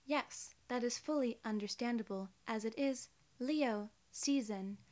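A young woman playing a polite, clinical alien inspector in an ASMR role-play, soft-spoken, formal and soothing. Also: fairly high pitch, measured pace, lightly acted. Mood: formal, calm. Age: young adult. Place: small indoor room, seated.

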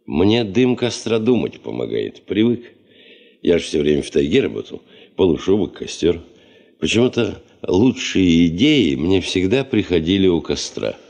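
Very deep, gravelly brooding geologist. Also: male voice, big pitch swings, moderate pace, darkish tone, heavily acted. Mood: musing. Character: brooding veteran taiga gold geologist, weary but driven. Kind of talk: 1970s Soviet radio play acting. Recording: dull 1975 radio play recording.

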